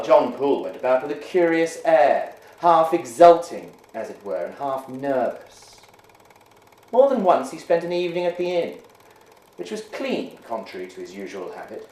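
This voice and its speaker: A man giving a measured, hushed dramatic reading of a ghost story. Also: on the high side. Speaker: reader. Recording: roomy echo.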